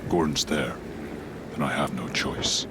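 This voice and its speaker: A man playing a grim, brooding vigilante hero in a radio drama, terse, hushed and solemn. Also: very deep voice, fairly even intonation, measured pace, background noise. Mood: resolute.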